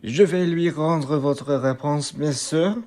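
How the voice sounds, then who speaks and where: A man's voice: fairly high pitch, takes it slowly, a little mellow. A man playing a matter-of-fact innkeeper who relays messages in a radio play.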